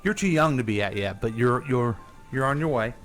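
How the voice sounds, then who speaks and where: A man's voice: mid-range voice, expressive, fairly quick, fairly bright tone, faint music behind. A man hosting a radio interview as a casual chat, asking questions in an informal, inquisitive way.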